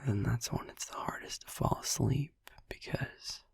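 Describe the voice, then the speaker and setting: Man acting as drowsy vampire boyfriend, breathy husky whisper, gentle and reassuring, halting rambles, close dry sleep-aid roleplay. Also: on the low side, no echo, exaggerated voice. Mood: drowsy, rambling.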